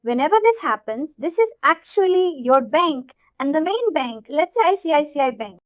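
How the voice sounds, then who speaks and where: A woman with an Indian accent giving an informal live software tutorial, explaining things repetitively and checking that listeners follow. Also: high voice, sing-song, normal speed, no room sound. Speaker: software instructor.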